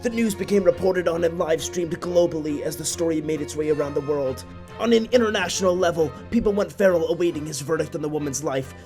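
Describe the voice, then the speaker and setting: A man narrating a scripted tale for a puppet show in a theatrical, solemn, literary manner. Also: sits high.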